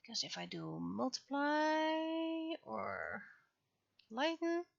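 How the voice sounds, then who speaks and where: A woman chatting casually on a livestream as she works, thinking aloud in a halting way and talking half to herself. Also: high voice, big pitch swings, gravelly, dry recording, highs cut off. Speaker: livestreaming webcomic artist. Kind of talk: casual livestream chat while working.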